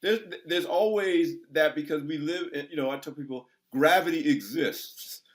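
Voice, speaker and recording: A man's voice: a little high, normal speed. A man in his sixties hosting an interview, talking in an emphatic, preacher-like way as he encourages his listeners. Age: 60s. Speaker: interview host. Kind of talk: conversational interview.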